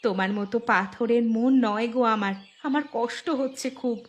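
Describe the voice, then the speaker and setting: A woman's voice: fairly high pitch, normal speed, close to an everyday voice. A woman voicing an anxious, plaintive village wife in an emotive moral cartoon. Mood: worried, reproachful. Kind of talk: Bengali moral cartoon dialogue.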